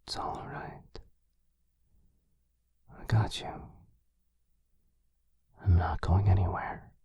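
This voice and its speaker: An actor playing a protective, brooding vampire lord in an intimate ASMR roleplay, speaking in a hushed, measured, halting way close to the mic. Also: male voice, steady tone, dry recording, heavily acted. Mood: tender, soothing. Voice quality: low, gravelly. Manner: hushed, measured, halting pauses, often dropping to breathy murmur. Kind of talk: boyfriend ASMR fantasy roleplay, intimate one-sided dialogue. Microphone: close microphone, dry.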